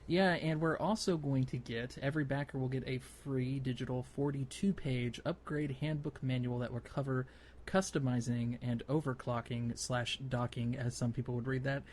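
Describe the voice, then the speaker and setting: A man's voice: medium pitch, steady tone, moderate pace, darkish tone, slight background noise. A man hosting a gaming podcast, explaining things casually in a loose, hesitant way. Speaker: podcast host.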